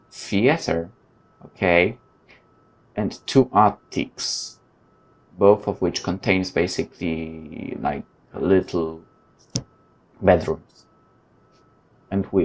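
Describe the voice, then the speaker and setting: A man with a fairly low voice hosts a gaming video. He reads story text aloud and breaks off into informal, animated commentary of his own. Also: slow, pauses often. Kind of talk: let's play commentary, reading game text aloud.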